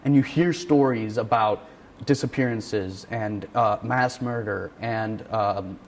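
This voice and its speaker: A man answering interview questions about a play, speaking thoughtfully with pauses and ums. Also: lowish voice. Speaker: student director interviewee.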